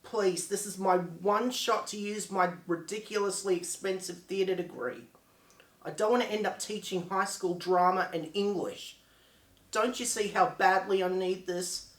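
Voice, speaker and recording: A man's voice: sits high, steady tone, very slow, long pauses, sharp tone, exaggerated voice. A man playing a desperate struggling theatre performer in an emphatic, theatrical dramatic monologue. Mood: desperate pleading. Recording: echoey room.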